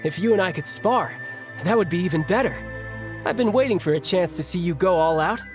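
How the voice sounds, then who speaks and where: An actor playing a cheerful, cocky young warrior in a video game, talking in a breezy, casual, teasing way. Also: male voice, high-pitched, expressive, a little fast. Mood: playful, teasing. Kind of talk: video game voice acting, conversational. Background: music.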